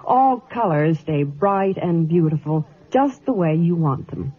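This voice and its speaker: Lively American radio woman, tinny vintage broadcast. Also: lowish voice, a little slow, slightly rough. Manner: chatty, polished sales delivery. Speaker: Hollywood reporter, ad pitchwoman. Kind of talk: scripted 1950s radio gossip and advertising.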